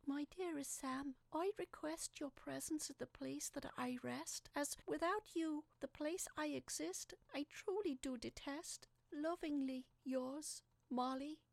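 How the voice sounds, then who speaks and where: A woman's voice: sits high, a little slow, gravelly, few pauses, no room sound, voice pushed up. A woman voicing a loving, yearning dead wife who beckons like a ghost in an audio drama. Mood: yearning, eerie.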